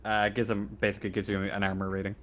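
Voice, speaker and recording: A man improvising as the game master of a tabletop game, ruling and narrating in a halting, stammering, casual way. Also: fairly low pitch, monotone.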